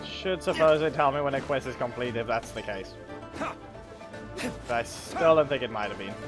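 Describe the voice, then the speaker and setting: A male streamer talks through his game in a casual, rambling way. His voice sits at a middling pitch, and game music plays clearly behind him as he thinks aloud. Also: normal speed. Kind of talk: casual live gameplay commentary.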